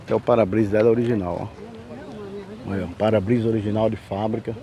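A man from Brazil giving a folksy, chatty sales pitch while filming cars at an outdoor car fair on a handheld camera. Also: steady tone, fast, a crowd in the background. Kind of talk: walkaround sales vlog. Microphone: handheld camera mic, filming.

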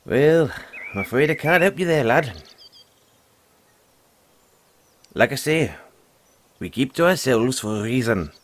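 A man playing a gruff but friendly forest dragon tamer, talking in a folksy way straight to the listener in an audio roleplay. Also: mid-range voice, noisy background, natural voice. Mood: guarded. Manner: folksy, teasing, calls the listener lad.

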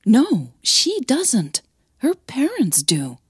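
A woman's voice: high voice, wildly swooping pitch, drawn-out words, husky, no room sound, clean studio sound, pitched above the performer's own voice, exaggerated voice. A woman voicing an anxious, exasperated young woman in an audio drama, emphatic with her mother.

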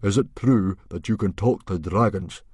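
A man voicing a blustering, awkward Viking chief who declaims loudly as if in command, for a storybook. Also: fairly low pitch, moderate pace, slightly rough, no room sound, clean studio sound. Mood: awkward, formal. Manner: loud, declamatory, trying to sound in command.

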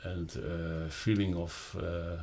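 Slow, gravelly older Dutch man. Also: low-pitched, little pitch movement, a little mellow. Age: 60s or older. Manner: halting, searching for words. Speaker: loudspeaker designer presenting his product. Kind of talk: unscripted explanatory product talk. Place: carpeted, wood-panelled listening room indoors.

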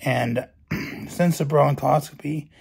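Middle-aged man, hoarse and gravelly, flat even delivery, phone-to-face vlog in a bedroom. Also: a little high, slow pace. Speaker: vlogger. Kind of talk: personal health update. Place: bedroom at night, indoors. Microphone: phone camera held close.

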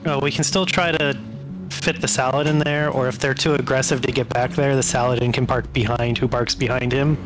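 A man's voice: medium pitch, fairly even intonation, fairly quick, rough recording. Man chatting tactics over music. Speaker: tabletop game player. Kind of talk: casual tactical game chat. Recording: music playing behind the voice. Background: background music.

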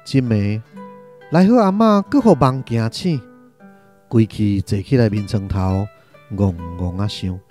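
A man's voice: lowish voice, expressive, measured pace, warm tone, soft background music. A man with a Taiwanese accent narrating a literary radio drama from a script in a dramatic style.

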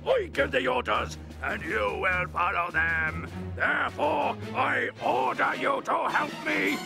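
An actor voicing a pompous, long-winded male chimp villain in a cartoon, given to shouting and repeating himself. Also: very high voice, expressive, slow, creaky, music in the background, exaggerated voice. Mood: commanding. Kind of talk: cartoon voice acting.

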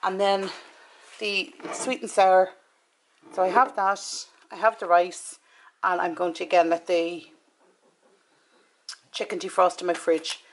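A woman's voice: lowish voice, steady tone, measured pace, pauses often. A woman in her forties with an Irish accent, chatting casually and matter-of-factly as a vlogger. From Ireland. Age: 40s. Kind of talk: casual chat about shopping. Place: home kitchen and inside a car. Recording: clicks and clear background noise.

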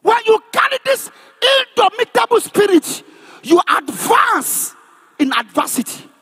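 A man preaching in an emphatic, declamatory charismatic sermon style, often rising to a shout and repeating phrases for emphasis. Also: extremely high pitch, sing-song, a little slow. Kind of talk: charismatic sermon with call and response.

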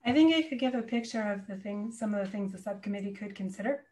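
Board chair, female, medium pitch, measured and tactful, on a video call. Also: a little mellow, rough recording. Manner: measured, deferential, hedging. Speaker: board chair running a meeting. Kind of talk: formal meeting discussion.

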